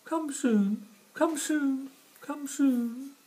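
A man voicing a small, chilly, grumbling red squirrel in a squeaky falsetto for a children's storybook. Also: drawn-out words, mellow, exaggerated voice, poor audio. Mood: chilly, eager.

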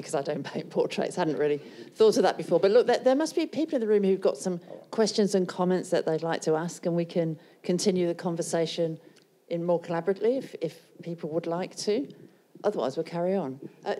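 A woman's voice: fairly low pitch, dark tone. A woman moderating a panel discussion, friendly and facilitating, inviting questions from the room. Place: college event hall with an audience.

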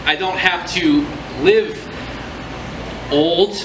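A man's voice: high-pitched, drawn-out words. A man, chatting casually with an audience and then reciting a spoken word poem on a small stage. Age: 30s. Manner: chatty, joking intro, then rhythmic earnest recitation. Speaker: slam poet. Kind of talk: spoken word poetry performance. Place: small indoor venue stage with live audience. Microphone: stand mic, filmed from across the room. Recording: echoey room, audience noise.

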